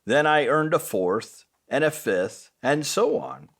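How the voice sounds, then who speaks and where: Man as a big friendly train, unhurried. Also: on the high side, expressive, creaky, a bit bright, exaggerated voice. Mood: patient, wise. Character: big friendly male train, patient seasoned mentor.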